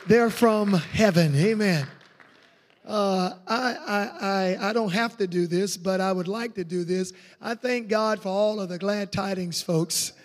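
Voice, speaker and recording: A man with a raspy voice preaching a revival sermon in a church, exuberant and full of hallelujahs. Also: high voice, measured pace. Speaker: guest evangelist. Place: church sanctuary. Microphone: handheld mic. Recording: echoey church hall.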